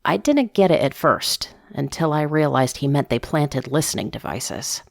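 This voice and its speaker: A woman giving a measured, intimate first-person narration of a scripted drama, as if reading from a diary. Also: deep voice, expressive, gravelly, no echo, clean studio sound. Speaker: narrator of a fiction podcast story.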